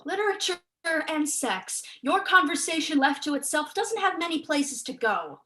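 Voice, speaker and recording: A young woman playing a sharp-tongued, guarded writer in a staged play reading, delivered in a dry, exasperated, cutting way. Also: sits high, sing-song, normal speed, exaggerated voice. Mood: scornful, exasperated. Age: young adult. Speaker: actor in a student staged reading.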